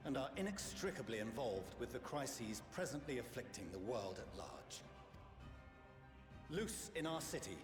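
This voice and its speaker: A man playing a pompous, disdainful politician in a game cutscene, speaking in formal, haughty oratory. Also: medium pitch. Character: pompous, accusatory male politician, stern and disdainful. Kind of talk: video game cutscene acting. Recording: echoey chamber, music underneath.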